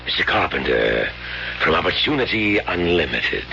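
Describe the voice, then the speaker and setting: An actor playing a mysterious, all-knowing stranger in a radio drama, deliberate, cryptic and insinuating. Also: male voice, low-pitched, sing-song, vocal fry, sharp tone, soft background music, heavily acted. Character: mysterious, all-knowing male visitor, smooth and menacing. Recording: dull, faintly noisy old radio recording.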